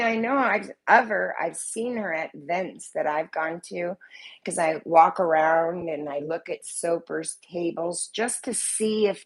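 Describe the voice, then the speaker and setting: A woman's voice: fairly low pitch, husky. A woman with a slight cold chatting casually at home, rambling and swearing freely. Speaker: vlogger, soap maker. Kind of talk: casual chat to camera. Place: at home, living room in view.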